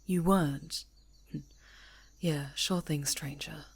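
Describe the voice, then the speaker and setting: A woman's voice: low-pitched, steady tone, takes it slowly, breathy edge, full character voice. A woman playing a chatty, easygoing camper in an ASMR roleplay, talking conversationally and teasingly to an unheard listener. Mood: friendly, teasing. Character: friendly, chatty woman camper on a woodland retreat.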